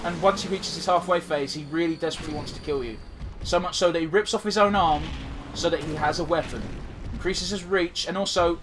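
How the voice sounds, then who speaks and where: A man's voice: fairly high pitch, noisy background. A man with a blocked nose giving casual, swear-laden commentary while playing a game, trailing off with fillers. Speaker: gaming commentator. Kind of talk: casual let's-play commentary.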